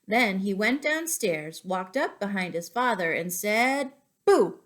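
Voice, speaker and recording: A woman teacher reading poems and picture books aloud to young children, as an expressive, deliberate storyteller. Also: medium pitch.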